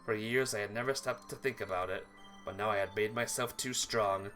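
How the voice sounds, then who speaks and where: A man's voice: fairly low pitch, fairly quick, sharp tone, soft background music, pitched below the performer's own voice. A man voicing a hot-blooded young male brawler, brooding and combative, in a theatrical, emphatic read-aloud of a visual novel.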